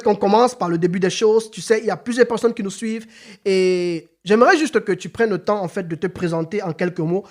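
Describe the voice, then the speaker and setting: A man hosting a casual podcast interview, often stumbling over repeated words and filler phrases, now and then rising into an emphatic rallying tone. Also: high-pitched. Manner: hesitant, repeats words and says "en fait" often. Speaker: podcast host.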